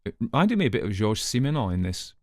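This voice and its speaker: A man's voice: fairly low pitch, very animated, quick pace, dry recording, clean studio sound. A man hosting a story reading, giving informal literary commentary in a chatty manner with offhand asides. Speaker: host and story reader.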